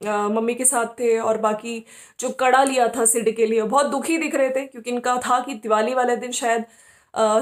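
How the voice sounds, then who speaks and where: A woman giving casual opinion commentary as a host, talking conversationally and often checking for agreement. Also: a little high. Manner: conversational, often seeking agreement with tag questions.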